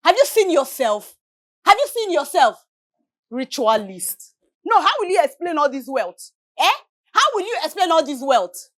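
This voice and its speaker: A sharp-tongued young fiancée, played by a woman, scolding and mocking. Her high voice swings up and down. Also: big pitch swings, moderate pace.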